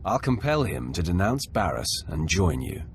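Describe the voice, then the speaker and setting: A man's voice: sits low, sing-song, moderate pace, a little hiss or hum. A man playing a cold, commanding Sith warrior in a video game, speaking in terse, menacing lines. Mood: firm, controlled.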